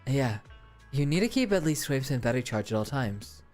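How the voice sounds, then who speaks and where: A woman voicing a calm, matter-of-fact temple worker in a video game. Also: rumbling low, brisk, soft background music, pitched below the performer's own voice.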